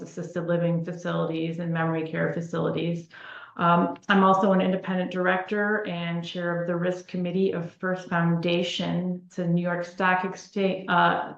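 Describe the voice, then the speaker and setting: A woman executive introducing herself on an online panel, friendly and a bit halting. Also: lowish voice, creaky. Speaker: guest executive. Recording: roomy, dull lo-fi sound.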